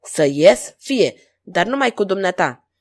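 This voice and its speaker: A woman's voice: fairly low pitch, very animated, a little mellow. A woman voicing an arrogant, lustful king in an audiobook, grandiose and commanding. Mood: passionate, pleading. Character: arrogant lustful king, imperious and quick to rage.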